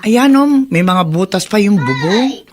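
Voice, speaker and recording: A woman playing a plain-spoken, hard-luck mother from the provinces in a Filipino radio drama, frank and expressive. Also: medium pitch, unhurried, crowd noise. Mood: doubtful.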